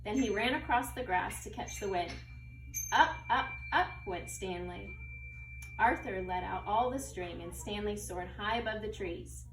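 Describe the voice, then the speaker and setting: A woman's voice: medium pitch, unhurried. A woman schoolteacher reading a children's story expressively and explaining things to young pupils as she goes. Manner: expressive, teacherly, explains words. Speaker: second grade teacher. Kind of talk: read-aloud of a children's story with asides to pupils. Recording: roomy echo, distant mic, faint noise.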